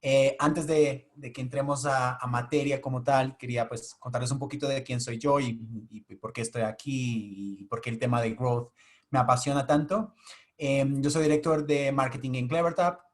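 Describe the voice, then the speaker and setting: A man's voice: medium pitch, fairly even intonation, moderate pace. A man in his thirties, a native Portuguese speaker with a Portuguese accent, giving an explanatory webinar talk to an audience over a video call. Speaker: guest speaker. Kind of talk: webinar presentation.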